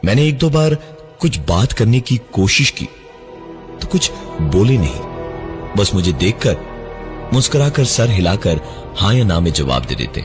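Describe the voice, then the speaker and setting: Animated male horror storyteller over prominent music. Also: fairly low pitch, a little slow, pauses often. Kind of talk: dramatic first-person horror storytelling. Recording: music behind the voice, 3D voice effects.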